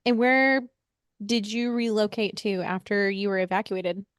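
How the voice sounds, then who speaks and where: A woman's voice: medium pitch, measured pace, clean tone, dry recording, clean studio sound. A woman hosting a podcast interview, asking friendly, encouraging questions with frequent fillers. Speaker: podcast host.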